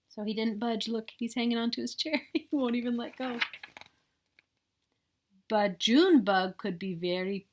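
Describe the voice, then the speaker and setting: A woman's voice: a little high, fairly bright tone. A woman storyteller reading a children's picture book expressively, with chatty asides to young listeners. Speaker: read-aloud storyteller.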